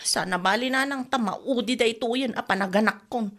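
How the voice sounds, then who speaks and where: A young Ilocano wife and mother in a Filipino radio comedy drama. Her voice is clearly high. She is stubborn and affectionate, always pleading her case. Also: brisk, a bit bright. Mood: defensive, complaining.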